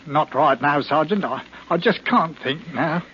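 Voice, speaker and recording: Meek, stammering husband, high-pitched. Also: male voice, a little slow, sharp tone, husky, heavily acted. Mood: flustered. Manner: hesitant, stammering, deferential. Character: meek, flustered English husband hiding guilt. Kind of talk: 1940s radio acting. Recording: muffled, noisy 1940s radio recording.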